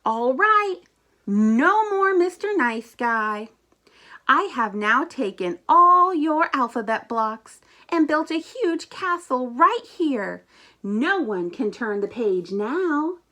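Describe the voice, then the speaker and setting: A woman's voice: high-pitched, sing-song, takes it slowly, sharp tone, heavily acted. Woman putting on an anxious, melodramatic furry monster. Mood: stern. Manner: exasperated, emphatic, theatrical delivery. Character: anxious, dramatic, pleading furry male monster Grover.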